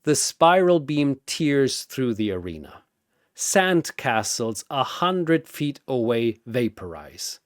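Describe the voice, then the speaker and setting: Dramatic male narrator, slow and very dynamic. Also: mid-range voice, big pitch swings, no echo, studio quality. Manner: theatrical, suspenseful. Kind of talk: dramatic scripted battle narration.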